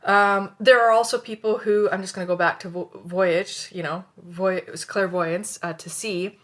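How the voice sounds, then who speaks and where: A woman's voice: medium pitch, steady tone, normal speed, fairly bright tone. A middle-aged woman from Canada whose first language is Hindi, teaching about psychic senses in a loose, rambling way, with lots of fillers, restarts and tag questions. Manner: rambling, many fillers and restarts, frequent "right?". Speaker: spiritual teacher. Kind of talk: casual spoken teaching.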